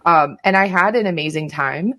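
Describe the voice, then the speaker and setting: Low-voiced woman in frank, reflective chat. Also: normal speed, pushed and bright. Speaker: podcast guest.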